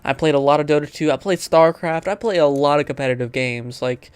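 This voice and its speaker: A man's voice: on the high side, brisk, smooth, no room sound. A man hosting a gaming video, chatting casually and reading game text aloud, often stumbling over the words as he goes.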